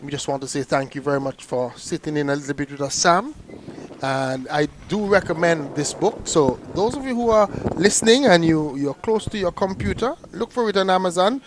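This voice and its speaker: A man's voice: on the high side, fairly quick, slight background noise. A man giving live cricket commentary in a chatty way, often repeating words as he restarts a sentence.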